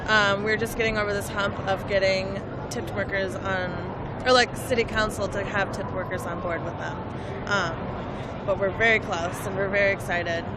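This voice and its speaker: A woman's voice: medium pitch, fairly even intonation, moderate pace. A woman from Minnesota, a bartender and server, speaking earnestly with many fillers in a street interview at a protest march. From Minnesota, USA. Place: outdoor city street march. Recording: crowd and traffic noise outdoors.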